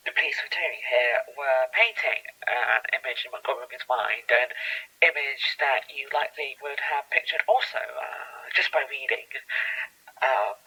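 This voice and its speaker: A man playing an earnest therapist in a mock documentary interview, speaking haltingly in a husky voice through a radio-like filter. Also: mid-range voice, sharp tone, exaggerated voice, poor audio. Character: angered male therapist giving a documentary interview. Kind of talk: scripted mock documentary interview, analog horror.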